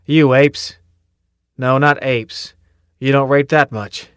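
A man reading an audiobook, voicing a loud, tough male drill sergeant who bellows orders and insults. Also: medium pitch, a little slow, no echo, clean studio sound, lightly acted. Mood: scornful.

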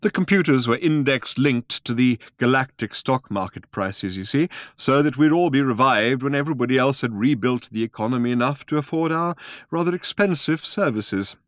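A man voicing a mild, polite old male planet-builder who talks slowly and wistfully, raised above his own voice, recorded dry and close. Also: mid-range voice, expressive, fairly bright tone, no room sound, voice pushed up, natural voice. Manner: slow and drawn out, mild and courteous.

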